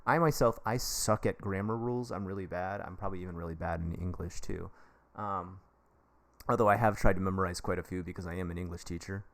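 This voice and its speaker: Chatty American man with a low voice. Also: steady tone, brisk. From United States. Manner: rambling, jokey, chummy, addresses viewers directly. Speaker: livestream advice host; English teacher. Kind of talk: casual live-stream advice chat.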